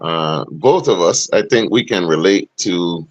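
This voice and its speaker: A middle-aged man with a deep, booming voice, hosting a podcast and making emphatic, animated points. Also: unhurried, no echo, highs cut off. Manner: animated, emphatic, rising to sharp questions. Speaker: podcast host. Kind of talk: casual podcast discussion.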